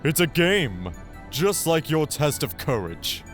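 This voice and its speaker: A man playing a calm, menacing teenage boy gamemaster, deliberate and ominous, in an anime-style audio drama. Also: on the high side, music in the background.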